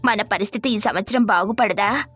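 Worried village wife for an animated tale, played by a woman: pleading, mid-range, faint music underneath. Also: mid-range voice, normal speed, close to an everyday voice. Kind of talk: animated moral story dialogue.

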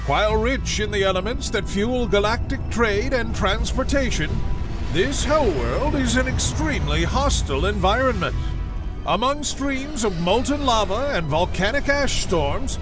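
An actor playing a bombastic, patriotic military propaganda announcer in dramatic scripted narration for a game. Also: male voice, very high-pitched.

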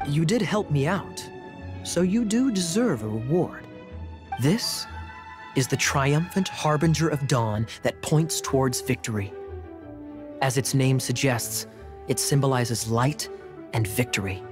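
An actor playing a composed, sly male knight captain in a video game, teasing with smooth, ironic politeness. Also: medium pitch, animated, unhurried, lightly acted. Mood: courteous, sly. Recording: music behind the voice.

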